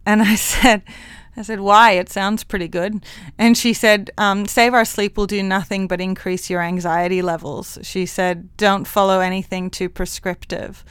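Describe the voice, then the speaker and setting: A woman's voice: medium pitch, fairly even intonation, measured pace, smooth, no echo. A woman psychologist with a mixed international accent, talking frankly and laughing often in an interview. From Hong Kong. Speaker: clinical psychologist guest. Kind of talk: interview conversation.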